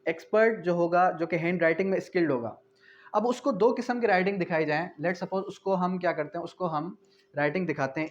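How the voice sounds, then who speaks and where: Male law lecturer, Pakistani accent, somewhat high pitch, didactic, repeats key phrases, code-switches to English, dull lo-fi audio. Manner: didactic, poses questions then answers them, repeats key phrases; mixes English legal terms into speech. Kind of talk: explanatory lecture with everyday examples. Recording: dull, muffled lo-fi sound.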